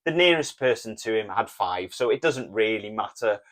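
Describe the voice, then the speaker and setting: A Welsh man co-hosting a casual podcast, talking in a relaxed, plain way and reading out listener messages. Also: lowish voice, normal speed. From Wales. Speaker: podcast co-host.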